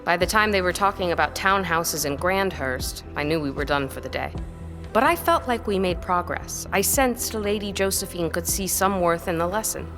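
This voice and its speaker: An actress playing an earnest, courteous tutor in an audio drama, speaking in a measured way. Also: female voice, lowish voice, animated, over music. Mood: reflective. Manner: measured, didactic, polite.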